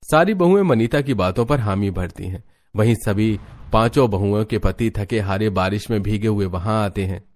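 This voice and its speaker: कहानी सुनाता गहरी आवाज़ वाला पुरुष. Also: male voice, on the low side, normal speed, a little mellow. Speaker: narrator. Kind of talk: scripted cartoon story narration.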